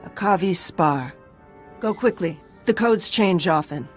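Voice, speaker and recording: A stern warrior woman from a space video game, speaking in a fairly low register. She is terse and commanding with a grim edge. Music sits underneath. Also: expressive, unhurried. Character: stern, curt female Mandalorian warrior.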